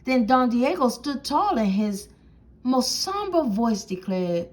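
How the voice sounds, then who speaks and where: High, animated woman reading a storybook. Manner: theatrical, deliberate phrasing. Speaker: storybook reader. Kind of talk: expressive children's read-aloud narration.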